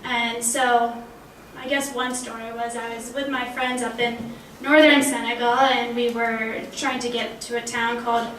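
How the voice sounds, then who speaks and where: Young woman, panelist, conversational storyteller, higher-pitched, roomy recording with faint noise. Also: level tone, unhurried, few pauses, a bit bright. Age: 20s. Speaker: panelist, language graduate. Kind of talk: conversational panel talk with personal anecdotes. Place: college panel event room. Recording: roomy, distant mic, faint background noise.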